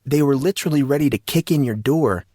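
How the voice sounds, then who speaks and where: A man's voice: medium pitch, fast talker, warm tone, no room sound, studio quality, pitched above the performer's own voice, lightly acted. A man voicing a chatty, well-informed teenage schoolboy in an audiobook, explaining things casually and eagerly. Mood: earnest explaining.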